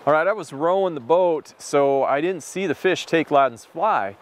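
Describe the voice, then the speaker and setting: A man in his forties, a fishing guest, telling a story in a relaxed, wry way from a boat outdoors on a lapel mic. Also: a little high, normal speed, slight background noise. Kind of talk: casual chat. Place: drift boat on a river outdoors.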